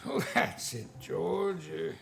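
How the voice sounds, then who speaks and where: A gravelly, sneering old miser. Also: male voice, on the high side, sing-song, a bit bright, full character voice. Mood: gloating. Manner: gruff, slow, gloating. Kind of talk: radio play acting.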